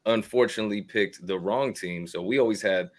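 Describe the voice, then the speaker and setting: A man's voice: lowish voice, a little fast. An American man chatting casually in slang as co-host of a football fan show. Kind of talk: casual chat.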